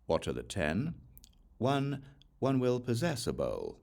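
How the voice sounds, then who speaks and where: A man reading scripture as an audiobook, in a measured, deliberate way with pauses between points. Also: mid-range voice, wildly swooping pitch, vocal fry, darkish tone. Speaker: audiobook reader.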